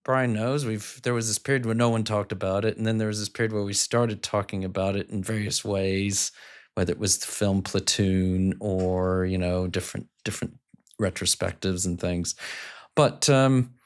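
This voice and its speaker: Brief: lower-pitched American male host in his fifties, wordy questions that wander before landing. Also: steady tone, moderate pace. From United States. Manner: rambling, circling questions. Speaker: podcast host. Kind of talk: podcast interview questions.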